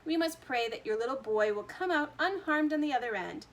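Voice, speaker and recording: A woman playing a fussy, eccentric man who runs a chocolate factory, full of theatrical exclamations. His voice sits fairly high and is loud and emphatic throughout this read-aloud story. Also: lively intonation, a little fast, a little hiss or hum. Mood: fretful.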